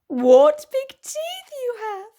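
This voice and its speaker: Squeaky breathless little girl from a fairy tale, lady doing the voice. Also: huge pitch swings, drawn-out words, warm tone, exaggerated voice. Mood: wondering. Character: sweet little girl in red cape.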